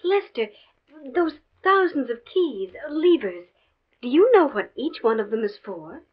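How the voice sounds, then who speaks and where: Young girlfriend in old radio play, high-ish lively voice, teasing, a bit muffled. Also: measured pace. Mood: impressed, puzzled. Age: young adult. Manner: wry, playful. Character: teasing, forgiving young fiancée of a professor. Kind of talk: 1950s radio drama acting.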